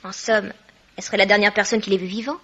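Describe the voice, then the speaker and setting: A woman's voice: mid-range voice, fairly even intonation, fast talker, a bit bright. An actress playing an eager, inquisitive young woman sleuth in a French radio mystery, always alert. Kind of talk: French 1950s-style radio mystery acting. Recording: dull, noisy vintage radio-drama recording.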